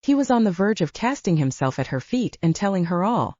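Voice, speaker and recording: A woman's voice: lowish voice, very animated, a little fast, darkish tone, no echo, highs cut off. A woman reading literary fiction as a scripted audiobook narration, moving between story and dialogue.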